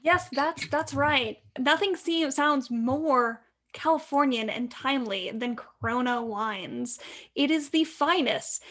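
A woman puts on a radio announcer with a high voice. She pitches emphatically and wryly, repeating herself like a mock saleswoman in an improvised parody ad. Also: takes it slowly, a bit bright. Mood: wry, salesy. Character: upbeat radio announcer pitching wine with wry insinuation.

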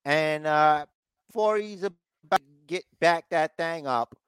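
A man's voice: on the high side, measured pace, fairly bright tone, smooth, no room sound, clean studio sound. A congested man co-hosting a casual podcast, rambling through a recap and slipping into mocking voices. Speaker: podcast co-host recapping wrestling. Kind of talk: casual chat.

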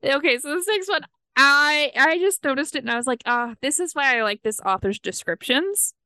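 A woman co-hosting a casual book discussion podcast, talking earnestly with quick asides. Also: sits high, animated, bright, forceful tone, no echo. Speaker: podcast co-host.

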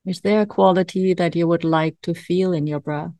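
A woman guides a meditation in a soft-edged, relaxed voice set a little low. She speaks very slowly, with calm instructions and long pauses between phrases. The sound is dry and intimate, with hardly any room. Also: dry recording. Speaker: meditation coach.

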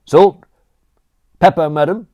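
A man's voice: on the high side, takes it slowly, warm tone, voice pushed up. A man voicing a brash, cheerful male butler with a cheeky, slangy way of talking. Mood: breezy. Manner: breezy, cheeky, working-class slang.

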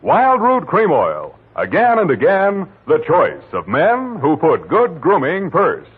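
Male radio announcer, showy sales pitch, swooping lively voice, tinny old broadcast. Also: slow, slightly rough. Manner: booming, showy salesman delivery. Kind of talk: 1940s radio commercial announcing.

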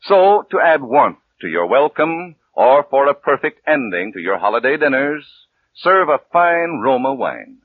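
A man's voice: mid-range voice, big pitch swings, a little slow, breathy edge. A man announcing a commercial in a polished 1940s radio advertising style. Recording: narrow, tinny 1940s radio recording.

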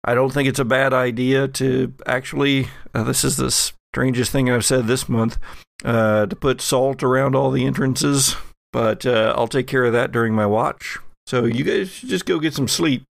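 A man playing a weary, gravelly FBI agent named Owl. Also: medium pitch, steady tone, normal speed, dry recording, studio quality, lightly acted. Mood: hesitant, practical. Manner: hesitant, colloquial, trailing off. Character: weary male FBI agent Owl, wary, reluctantly practical. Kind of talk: improvised in-character tabletop dialogue.

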